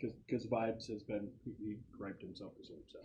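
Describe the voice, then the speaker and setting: A man's voice: fairly low pitch, flat delivery, moderate pace, dark tone. A man speaking casually as game master, narrating a tabletop roleplaying game to his players. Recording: noisy room with clicking sounds.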